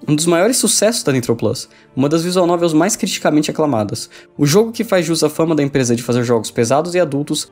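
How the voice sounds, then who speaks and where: A man's voice: fairly high pitch, darkish tone. A man with a Brazilian accent reading a scripted review as a video essay narrator. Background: background music.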